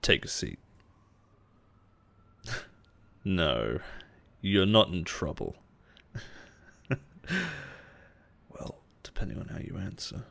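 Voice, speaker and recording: A man playing a possessive chief executive in a roleplay audio drama, with an intimate, teasing manner that turns nervy and quietly menacing. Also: sits low, gravelly, full character voice. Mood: teasing, warm. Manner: intimate, teasing, rambling when agitated. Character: possessive male CEO boss, playful, nervy, quietly menacing.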